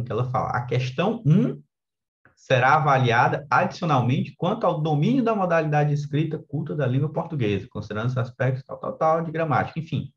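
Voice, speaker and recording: Male exam coach, Brazilian accent, mid-range voice, informal lecture with frequent check-in tags, slightly lo-fi sound. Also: medium pitch. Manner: conversational, frequent tag questions seeking agreement.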